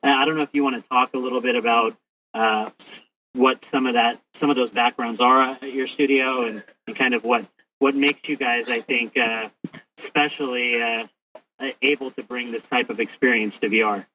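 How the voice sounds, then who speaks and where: Bright-voiced man podcast host, off-mic. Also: mid-range voice, steady tone, moderate pace, recorded from a distance. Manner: hesitant, many restarts and fillers. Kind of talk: interview questions. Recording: hissy lo-fi audio, off-mic.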